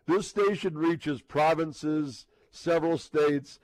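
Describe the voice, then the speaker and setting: Guy hosting sports radio, slightly high voice, jokey and emphatic, no room sound. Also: slow, darkish tone, no echo, poor audio. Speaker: sports radio host and play-by-play announcer. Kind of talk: casual live sports talk.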